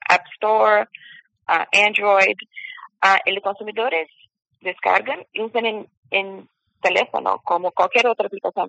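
A woman from Brazil with a Brazilian Portuguese accent, a phone guest speaking halting Spanish as a learner, stopping to search for words. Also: medium pitch, creaky, bright, forceful tone, no echo, dull audio. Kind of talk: phone interview. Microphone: phone line.

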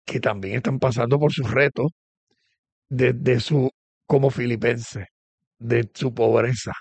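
A man's voice: medium pitch, fairly even intonation, slow pace, creaky, darkish tone, husky, dry recording. A man, a pastor hosting an interview program, talking conversationally with many restarts and fillers.

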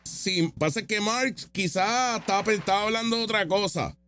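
A man's voice: high-pitched, normal speed, over music. A man giving casual, opinionated reaction commentary, mocking and full of rhetorical questions. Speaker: political commentator reacting to street interview clips.

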